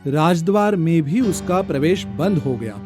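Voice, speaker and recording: Slow male storyteller, slightly high voice. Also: lively intonation. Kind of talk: scripted moral-story narration. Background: soft background music.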